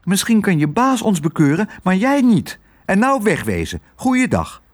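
A spirited little storybook girl, voiced by a man reading aloud, pitched high and swinging widely up and down. She is direct and plain-spoken, with a slightly husky edge. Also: normal speed. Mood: bold, firm. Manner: lively, direct, exclamatory.

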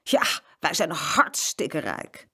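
A woman's voice: sits low, wildly swooping pitch, takes it slowly, bright, forceful tone, slightly rough, full character voice. A woman voicing a boastful, condescending older boy who sneers stubbornly, in a children's storybook reading. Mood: bragging. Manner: bragging, condescending.

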